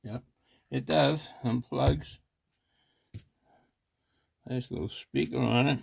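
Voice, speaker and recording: Slow, very gravelly man muttering. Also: medium pitch, steady tone, darkish tone. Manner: muttering to himself in short fragments. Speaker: hobby video host. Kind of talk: casual hands-on teardown commentary. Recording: clearly noisy amateur recording with clicks.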